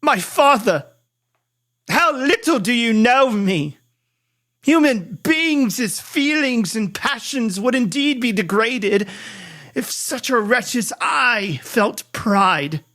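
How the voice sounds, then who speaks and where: A narrator acts out a guilt-ridden gentleman scientist in a gothic storybook, his voice pushed high and loud. He declaims in long, drawn-out phrases, frantic and despairing. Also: lively intonation. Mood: bitter anguish. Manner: theatrical, drawn-out, impassioned declaiming.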